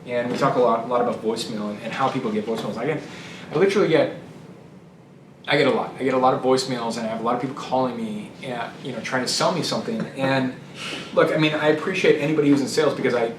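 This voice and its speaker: A man's voice: medium pitch, quick pace. A man giving conversational sales advice as a trainer and host, blunt and emphatic, repeating phrases for stress. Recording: echoey room, distant mic, noisy.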